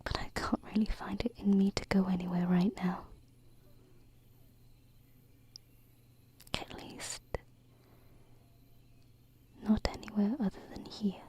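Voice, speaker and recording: Woman as gentle alien princess, ASMR roleplay: whispered, close-miked, tender, wistful, slightly hesitant. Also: medium pitch, level tone, lightly acted. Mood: tender, shy. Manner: soft, hesitant, tender, unhurried. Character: gentle, wistful young alien princess, female, far from home. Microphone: close binaural ASMR microphone.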